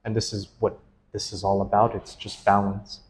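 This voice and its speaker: A man's voice: lowish voice, monotone, normal speed, low-quality recording. A man, a dream analyst, interviewing a client in an earnest, enthusiastic and easily laughing way. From United States. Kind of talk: casual interview.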